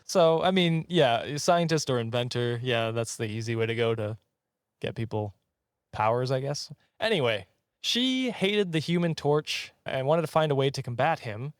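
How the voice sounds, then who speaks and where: A man's voice: medium pitch, expressive, normal speed, no room sound, clean studio sound. A man hosting a podcast in casual, comedic chat, joking and chuckling as he goes. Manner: jokey, chuckling often. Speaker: podcast host.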